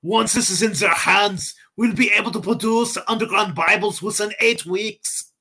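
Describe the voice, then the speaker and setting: A man's voice: high-pitched, moderate pace, pushed and bright, slightly rough, voice pushed up, full character voice. A man voicing an earnest, urgent foreign doctor from a religious comic in a hammy mock German accent.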